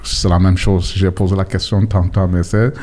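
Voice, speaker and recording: A man's voice: low voice, flat delivery, moderate pace, darkish tone. A man hosting a podcast interview with young guests, asking informal questions and checking back with short tags. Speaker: host.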